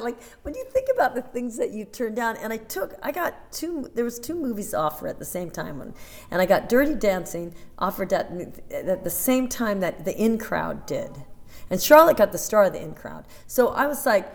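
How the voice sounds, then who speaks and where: A woman in her fifties, a dancer, chatting in an interview and telling animated stories with many restarts and asides. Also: fairly high pitch, normal speed. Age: 50s. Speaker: interview guest, Broadway dancer. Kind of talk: casual interview chat.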